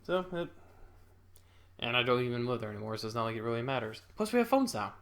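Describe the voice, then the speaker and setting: A man's voice: mid-range voice, very animated, fast talker, creaky. A young man casually telling a childhood story, rambling and interrupting himself with chuckles.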